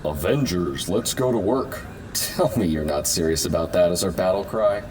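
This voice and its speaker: An actor playing an earnest, touchy superhero scientist who explains himself defensively in an audio drama. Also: male voice, low-pitched, moderate pace, background noise, lightly acted. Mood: defensive, annoyed.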